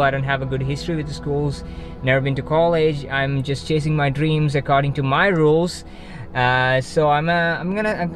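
A young man from Kerala with a Malayali accent, talking unscripted to a camera in a parked car, restarting and correcting himself often. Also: fairly high pitch. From Kerala, India. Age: young adult. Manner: rambling, frequent restarts and self-corrections. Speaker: vlogger. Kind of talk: unscripted casual talk to camera. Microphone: camera on the dashboard facing him. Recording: background music under the voice. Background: background music.

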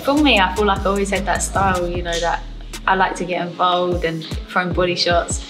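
A woman's voice: lowish voice, fairly even intonation, brisk. A woman, a professional boxer chatting casually in an interview at a gym and laughing often. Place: boxing gym, indoors. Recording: music playing under the voice.

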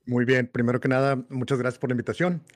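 A man's voice: medium pitch, fairly even intonation, fast. A man from Monterrey with a Mexican Spanish accent, a guest on a video-call interview, telling his story with many fillers. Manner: rambling, with many "eh" and "este" fillers. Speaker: guest homebrewer. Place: home room. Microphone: desk mic on video call.

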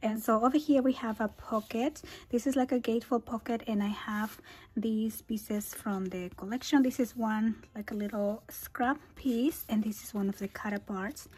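A woman who is losing her voice talks through a handmade album at her craft table. Her tone is husky and breathy and often drops into a whisper. She keeps it casual and chatty, with small laughs between phrases. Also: fairly high pitch, steady tone, moderate pace, a little mellow. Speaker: scrapbooking channel host. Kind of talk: craft tutorial walkthrough.

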